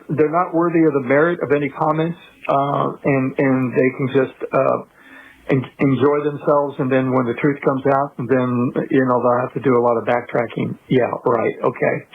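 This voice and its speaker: A middle-aged man talking on a recorded phone call in a halting, dismissive way. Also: mid-range voice, fairly even intonation, vocal fry. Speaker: father on a jail phone call. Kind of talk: casual phone conversation. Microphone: telephone. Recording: narrow muffled phone line.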